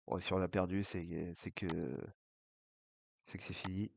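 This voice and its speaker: A man's voice: sits low, level tone, a little slow, gravelly, noisy background. A man with a cold and a blocked nose, casually talking through an online baccarat session and muttering to himself between bets. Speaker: online casino streamer.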